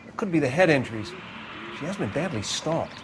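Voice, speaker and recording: A man playing a matter-of-fact coroner in a video game, speaking in a measured, clinical manner. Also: a little high, animated, background noise, natural voice. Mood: clinical, detached. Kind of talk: cinematic video game acting.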